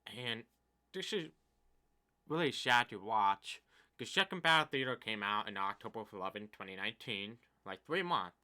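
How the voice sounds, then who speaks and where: A man's voice: on the low side, expressive, moderate pace. Deaf young man venting about games. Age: 20s. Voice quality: deaf speaker's speech. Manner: complaining, rambling, uneven loudness. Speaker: gaming YouTuber. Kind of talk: casual commentary vlog.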